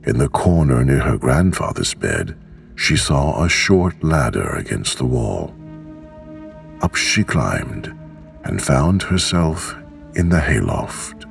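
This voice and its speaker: Very deep, slow bedtime storyteller man. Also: vocal fry. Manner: slow, soothing and expressive. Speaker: narrator. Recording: soft music underneath.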